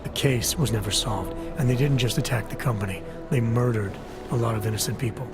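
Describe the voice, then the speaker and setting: An actor playing a guarded, terse augmented security agent with an American accent, in a game cutscene. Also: male voice, mid-range voice, fairly quick, natural voice. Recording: game cutscene with loud music.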